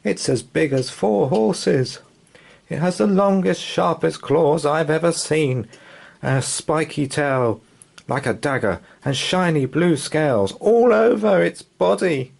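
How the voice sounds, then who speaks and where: A man's voice: on the high side, lively intonation, slow pace, vocal fry, a little mellow, voice pushed up, exaggerated voice. A man voicing a panicked, alarmed father in a storybook, panting and exclaiming.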